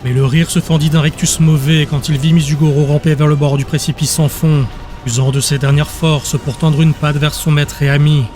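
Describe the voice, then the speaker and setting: A man's voice: fairly high pitch, little pitch movement, moderate pace, a little mellow. A man reading dramatic scripted narration as an audiobook narrator. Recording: music behind the voice.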